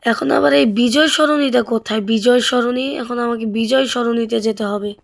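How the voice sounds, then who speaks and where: A Bangladeshi woman hosts casual gameplay commentary in a high voice with a crackly edge. She talks chattily, thinking aloud and tossing out questions as she plays along with the roleplay. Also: steady tone, normal speed, vocal fry. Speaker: gaming video host.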